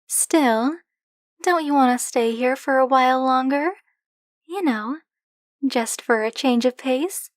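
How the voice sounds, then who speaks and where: A woman's voice: high voice, takes it slowly, pauses often, dry recording, studio quality. A woman playing a flirty, possessive dragon-blooded librarian in an ASMR roleplay, speaking to the listener in a teasing, coy, insistent manner. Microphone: close, dry microphone.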